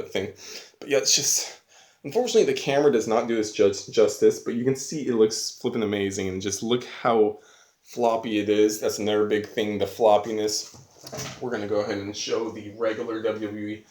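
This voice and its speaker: A man's voice: lowish voice, darkish tone. A man giving a casual, unscripted video review, rambling enthusiastically in slangy everyday language, recorded on a phone. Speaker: online video host, reviewer. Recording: roomy echo on phone camera.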